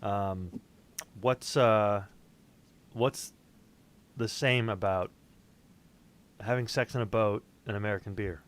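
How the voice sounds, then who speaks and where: A man with a fairly low voice chats as a podcast host. His delivery is casual and loose, full of joking, profane banter. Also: fairly even intonation, takes it slowly, pauses often. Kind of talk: casual livestream chat.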